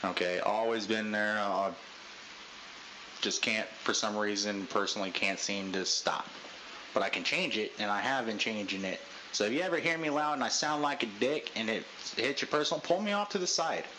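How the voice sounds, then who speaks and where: A man leading a group debrief in a casual pep-talk style, talking loudly with a lot of inflection. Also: normal speed, slight background noise, rough recording. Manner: loud, high voice inflection. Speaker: unit leader giving a debrief.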